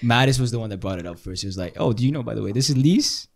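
A young man from Italy in a casual podcast chat, joking and animated. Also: medium pitch, gravelly. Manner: fast-talking, joking, animated.

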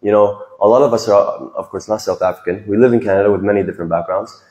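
A man's voice: lowish voice, steady tone, quick pace, a little mellow, muffled recording. A young man giving an earnest, heartfelt farewell speech from a stage, speaking into a handheld microphone. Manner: earnest, reflective, speaks from the heart with small restarts. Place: large decorated hall with stage. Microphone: handheld microphone, recorded from the audience. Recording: echoey hall.

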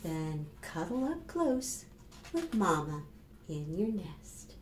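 A woman in her fifties reads a picture book aloud to preschoolers, expressive and instructive, addressing the children directly. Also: on the low side, slow. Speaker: children's storytime librarian. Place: small plain room indoors. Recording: roomy echo, faint background noise.

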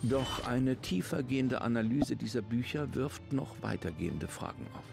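A man's voice: medium pitch, normal speed, vocal fry, music in the background. A man doing scripted narration of book text in a game.

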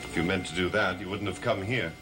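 A man's voice: deep voice, fairly even intonation, fast talker, over music, close to an everyday voice. An actor playing a proud, mocking man of a cursed family in a gothic soap opera, sardonic and theatrical. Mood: mocking, defiant.

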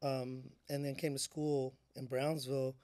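A middle-aged man from the South Texas border, a musician guest speaking softly and haltingly with many fillers in a casual interview. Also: mid-range voice, little pitch movement, no echo, studio quality.

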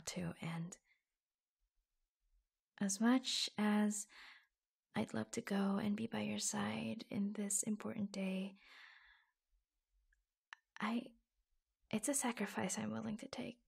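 A woman playing an affectionate, reassuring secretary in a soft-spoken ASMR roleplay, gently hesitant and often dropping into a whisper. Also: mid-range voice, creaky, husky, heavily acted. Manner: gentle, hesitant, pausing between short phrases.

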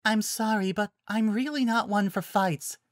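A narrator voicing a timid, gentle, apologetic young female druid in a fantasy story, soft-spoken, nervous and hesitant. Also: mid-range voice, moderate pace, no room sound, studio quality, voice pushed up, lightly acted. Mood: meekly polite. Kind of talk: audiobook-style fantasy story character.

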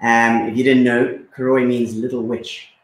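A man's voice: mid-range voice, level tone, moderate pace, reverberant. A man in his thirties from Zimbabwe, a guest chatting casually with many fillers and repeats. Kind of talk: casual conversation.

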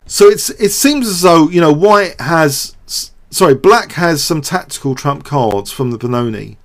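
A man with a somewhat high-pitched voice hosts an analytical commentary. He works things out aloud without a script, hesitating and repeating words as he goes. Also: lively intonation, a little slow. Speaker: host of a chess show. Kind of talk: off-the-cuff game commentary and analysis.

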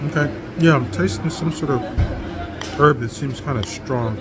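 A middle-aged man giving a casual food review, speaking haltingly and trailing off as he tastes. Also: mid-range voice, very noisy. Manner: halting, trailing off while tasting. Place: indoor restaurant. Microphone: phone held close to face. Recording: loud music and restaurant crowd behind.

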